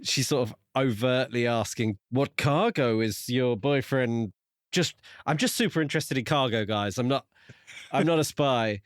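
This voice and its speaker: A man's voice: mid-range voice, normal speed, no room sound, studio quality. A man co-hosting a podcast, casually musing over a book in a tentative, conversational way. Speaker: podcast co-host.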